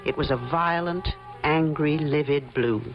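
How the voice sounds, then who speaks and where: A woman's voice: low-pitched, a little slow. An actress playing a cool, shrewd female private detective in an old-time radio mystery, with a dry, probing, self-assured manner. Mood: wry narration. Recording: muffled old radio broadcast under loud music.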